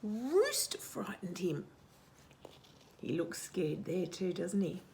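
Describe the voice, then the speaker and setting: A woman hosts a storytime for small children, taking her time with a very animated, swooping delivery. Her voice has a creaky edge and often drops to hushed asides as she asks the listener questions. There is some noise in the background. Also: fairly low pitch. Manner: animated, expressive, asks questions and pauses for answers. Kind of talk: read-aloud picture-book storytelling with chatty asides to young children.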